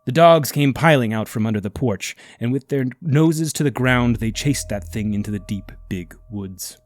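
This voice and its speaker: A man reading a scripted ghost story as narrator, dramatic and at times hushed. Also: medium pitch, moderate pace.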